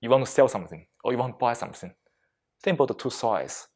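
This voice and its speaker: A man leading a reflective mindfulness talk as a meditation teacher, speaking deliberately in short phrases with frequent pauses and non-native English phrasing. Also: mid-range voice, lively intonation, a little mellow. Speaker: meditation teacher giving a workplace session.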